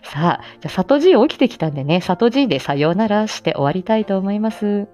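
A woman's voice: medium pitch, lively intonation, fast, darkish tone. A woman hosting a casual livestream, talking in a humble, effusive and playfully feisty way. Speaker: livestream host, amateur voice actor.

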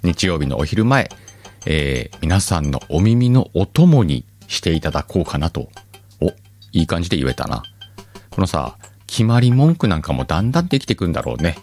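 A man's voice: low-pitched, sing-song, moderate pace, a little mellow, soft background music. A man hosting his own talk show, musing aloud in a loose, self-mocking way and chuckling at his own slips, with a lilt. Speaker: podcast host. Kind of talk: casual solo radio-style talk.

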